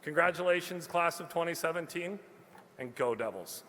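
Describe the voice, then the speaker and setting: A man addresses a graduation ceremony with a prepared speech. His voice is fairly high, bright and forceful, with long reverb from a large hall. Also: expressive, normal speed, slight background noise. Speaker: alumni speaker and presenter.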